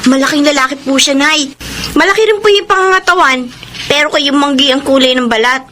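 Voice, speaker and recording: A woman's voice: high-pitched, a little fast, pushed and bright. A woman playing a feisty, wary young college student in a Filipino radio drama. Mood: troubled, curious. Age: young adult. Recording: faint background noise.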